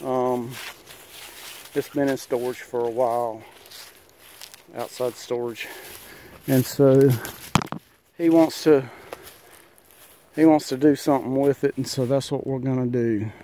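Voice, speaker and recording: A man's voice: mid-range voice, steady tone, a little slow, long pauses, dark tone, a little hiss or hum. Easygoing man in a garage. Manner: easygoing, rambling, many fillers. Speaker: vlogger and mechanic. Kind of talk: casual walkaround narration. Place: garage and wooded yard.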